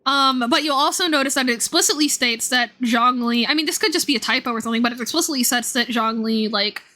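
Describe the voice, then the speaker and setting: A woman's voice: high-pitched, fast, sharp tone. A woman live streamer chatting casually with viewers about a game, rambling in an informal, slangy way. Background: music.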